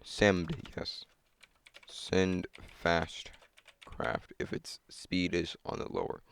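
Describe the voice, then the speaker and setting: A man's voice: low voice, very animated, extremely slow, gravelly, a bit bright, a little hiss or hum. A young man thinking aloud and griping at himself while setting up a computer game on a cheap desk mic. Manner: muttering, self-critical, thinking aloud. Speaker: gamer. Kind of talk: casual gameplay commentary.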